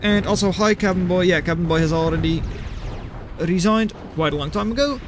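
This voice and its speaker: High-pitched male game caster. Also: a little fast, noisy background. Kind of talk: live esports-style replay commentary, chatting with viewers.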